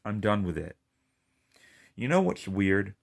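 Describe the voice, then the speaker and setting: Lisping male gamer, rambling frustrated rant, low-ish voice, unhurried delivery. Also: animated, vocal fry. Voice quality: lisp. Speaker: gaming video creator. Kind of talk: casual ranting vlog.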